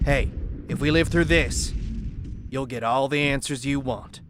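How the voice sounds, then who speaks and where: A man's voice: mid-range voice, unhurried, background noise, natural voice. A man playing a brusque alien military commander in a comic-book audio drama, terse and commanding. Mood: firm.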